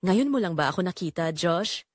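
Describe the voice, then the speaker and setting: A woman's voice: lowish voice, expressive, normal speed, dry recording, studio quality. A woman with a Filipino accent voicing a seductive, bold woman with hidden longing in an audio drama. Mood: intimate, teasing.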